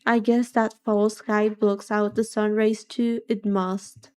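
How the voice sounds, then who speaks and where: A young British woman with a soft voice, chatting casually on a livestream with languid, drawling complaints. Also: mid-range voice, little pitch movement, slow pace. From Britain. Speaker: streamer.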